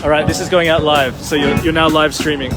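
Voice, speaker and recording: Rambling man, higher voice. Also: brisk. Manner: relaxed, rambling with many fillers. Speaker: livestream host at a protest. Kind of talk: casual on-the-spot talk. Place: outdoor city square. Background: live music nearby.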